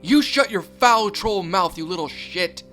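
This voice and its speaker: Brief: man doing a raging cartoon mom, shrill and piercing, pitched well up, hard-edged. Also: animated, a little slow, bright, forceful tone, soft background music, exaggerated voice. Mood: furious. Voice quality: shrill, shrieking. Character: enraged unhinged mother, screeching and violent.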